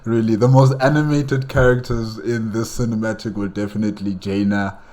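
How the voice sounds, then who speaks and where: A man with a fairly low voice gives casual reaction commentary. He talks haltingly, repeating himself while he looks for the next word. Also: level tone, a little mellow. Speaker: reaction video host.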